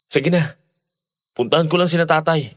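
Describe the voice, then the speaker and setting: A man's voice: fairly high pitch, unhurried, a little hiss or hum, natural voice. A man playing an earnest, protective, skeptical young boyfriend in a Filipino horror audio drama. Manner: pleading, reassuring.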